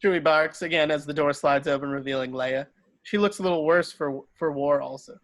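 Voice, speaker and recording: A man's voice: on the high side, a little fast, fairly bright tone. A man narrating screenplay stage directions in an amateur table read, sometimes stumbling and rereading. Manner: stumbles over words and rereads.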